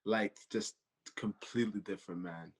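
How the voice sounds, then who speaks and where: A man's voice: lowish voice, moderate pace. A man in his twenties from Canada, a podcast guest chatting casually, often repeating his phrases. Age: 20s. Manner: repeats phrases, peppers talk with "you know". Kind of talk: casual interview chat.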